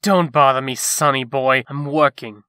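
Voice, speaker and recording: Man acting a bright, cocky scheming rogue. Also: medium pitch, normal speed, dry recording, studio quality, lightly acted. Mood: brash. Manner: brash, slangy, wisecracking. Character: cocky, scheming male rogue, wisecracking and impatient. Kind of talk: comic dub voice acting.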